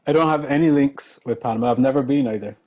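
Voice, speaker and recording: A man's voice: medium pitch, quick pace, narrow, tinny audio. A man co-hosting a video chat, speaking in a thoughtful, earnest way. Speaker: co-host.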